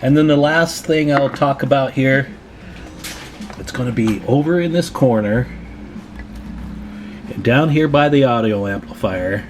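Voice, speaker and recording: A man's voice: mid-range voice, measured pace, pauses often, music in the background. A man presenting a hands-on repair tutorial in a casual, plain-spoken, advising way.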